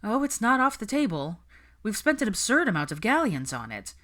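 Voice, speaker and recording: A woman's voice: mid-range voice, sing-song, brisk, a bit bright, voice pushed up. A woman voicing a stressed young witch in a story reading, venting emphatically about planning her wedding. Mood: frustrated.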